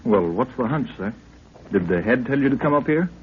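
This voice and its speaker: A man's voice: medium pitch, expressive, a little fast, lightly acted. A man playing a curious, polite young expedition assistant with a wry questioning manner in a 1930s radio adventure. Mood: curious, respectful. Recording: tinny, noisy 1930s radio recording.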